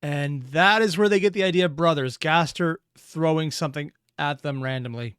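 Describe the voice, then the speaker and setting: A man's voice: on the high side, normal speed, fairly bright tone, smooth, no room sound, faint music behind. Man giving dry-sounding, close reaction commentary. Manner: rambling, analytical. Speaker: reaction video host.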